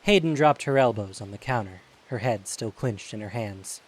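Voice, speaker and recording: A man's voice: lowish voice, animated, normal speed, poor audio. A man narrating an audio drama in a scripted storytelling style. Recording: faint hiss.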